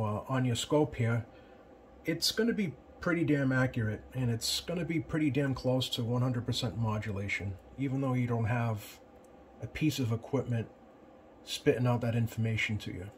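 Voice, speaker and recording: A man giving a casual hands-on tutorial as a repair technician, plain-spoken and often pausing mid-sentence. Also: medium pitch. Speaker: CB radio repair technician presenting. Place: indoor electronics workbench. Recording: clear background noise, home workbench room.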